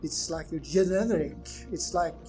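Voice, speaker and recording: A man's voice: fairly high pitch, normal speed, mellow, faint music behind. A Swedish-accented man roleplaying a puzzled character, exclaiming in confusion. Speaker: player.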